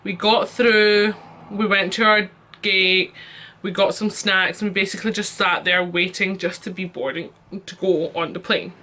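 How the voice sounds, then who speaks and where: A woman's voice: medium pitch, steady tone, moderate pace, sharp tone. A young Scottish woman telling a storytime rant as a vlogger, chatty and exasperated, with lots of fillers.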